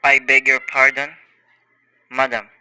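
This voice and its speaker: A man's voice: mid-range voice, completely flat delivery, unhurried, pushed and bright, clear, resonant tone, no echo, low-quality recording. A man playing a formal, unflappable telephone operator in a radio play, polite and formulaic in a clipped way. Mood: polite, impassive.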